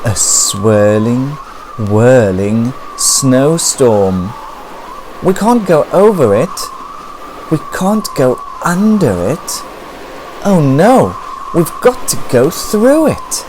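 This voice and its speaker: A children's storyteller reads a picture book aloud with great expression. The delivery is extremely unhurried and theatrical, with stretched-out words, whispered effects and a very lively melody. Faint noise sits behind the voice. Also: mid-range voice.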